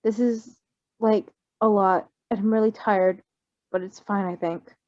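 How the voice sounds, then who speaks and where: Woman acting out young male runaway, weary, wary, halting, superhero storybook dialogue, slightly lo-fi recording. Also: medium pitch, fairly even intonation, a little mellow, close to an everyday voice. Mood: tired, hesitant.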